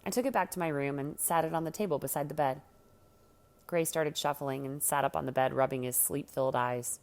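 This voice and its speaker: A woman reading a first-person teenage story aloud from a script, with dramatic, expressive delivery. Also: sits low, brisk. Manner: dramatic, acts out the dialogue. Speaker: story reader.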